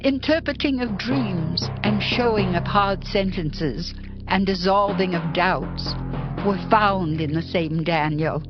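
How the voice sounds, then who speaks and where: A woman playing a dignified queen giving counsel, measured and stately, in a dramatized scripture reading. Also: medium pitch, natural voice. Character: dignified queen advising her king. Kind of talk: dramatized King James Bible reading. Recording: dull audio under clear music.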